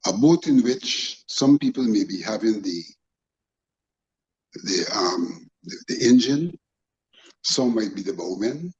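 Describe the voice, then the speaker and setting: A man with a Guyanese accent speaking as a panel guest, in formal, deliberate phrasing during a political discussion. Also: medium pitch, a little mellow, lo-fi sound.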